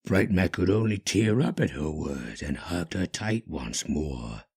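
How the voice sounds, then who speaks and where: Deep, husky, expressive male storyteller. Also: a little slow. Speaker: fan-fiction narrator. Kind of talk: dramatic scripted story narration.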